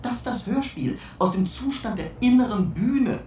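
A woman's voice: on the high side, animated, moderate pace, warm tone, close to an everyday voice. Woman as a swooping, pompous theorist in echo. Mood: pompous, insistent. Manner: pompous, wordy. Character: earnest, pompous radio drama theorist lecturing. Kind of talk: mock academic radio play speech. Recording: echoey room, distant, faint noise.

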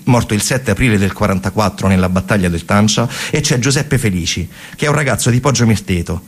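Male stage storyteller, low husky voice, conversational radio-theatre monologue with emphatic repetition. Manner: conversational, repeats phrases for emphasis, acts out quotes.

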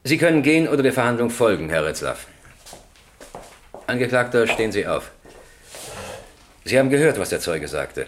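An actor playing a stern, formal presiding judge who questions with authority in a German radio crime drama. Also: male voice, medium pitch, very animated, moderate pace, pauses often, slight background noise, natural voice. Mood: formal, matter-of-fact. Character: stern, probing male presiding judge in a courtroom.

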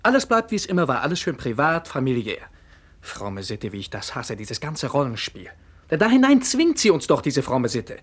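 An actor playing a rebellious nineteen-year-old son in a radio play, earnest, critical and argumentative. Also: male voice, mid-range voice, huge pitch swings, normal speed, a bit bright, slightly rough, heavily acted. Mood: bitter, indignant. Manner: emphatic, argumentative. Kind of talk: late 1960s radio play acting.

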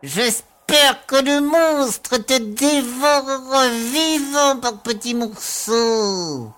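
A man's voice: very high-pitched, drawn-out words, a bit bright, voice pushed up, full character voice. A man voicing a furious, humiliated male officer who screams orders and threats hysterically in an audiobook. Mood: vengeful.

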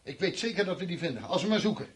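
An actor playing an anxious, irritable man fleeing war in a radio play, with a husky voice. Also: high voice, animated, racing, no room sound, heavily acted. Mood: obsessive longing. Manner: agitated, commanding, brooding when narrating. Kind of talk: Dutch radio play acting.

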